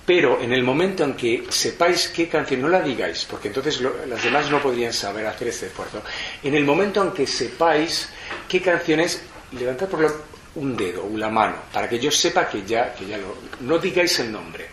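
A man gives a live lecture, thinking aloud and restarting phrases as he explains. His voice sits at an ordinary middle pitch. The recording has a roomy echo and a faint hiss underneath. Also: animated, normal speed. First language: Spanish. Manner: reflective, explanatory, frequent restarts. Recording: roomy hall echo, faint background noise.

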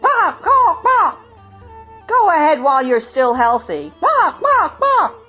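A woman's voice: sing-song, slow pace, pushed and bright, soft background music, exaggerated voice. A woman voicing a defiant flock of chickens that squawk and mock. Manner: shrill, squawking, mocking. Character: clamoring defiant flock of hens.

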